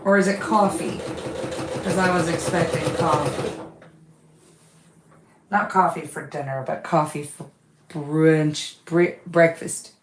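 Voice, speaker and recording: Slow-talking woman chatting while she sews. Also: low voice, steady tone, roomy sound, background noise. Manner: chatty, reads out comments while working. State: lost her voice. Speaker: quilting livestream host. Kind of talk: casual chat with viewers while sewing.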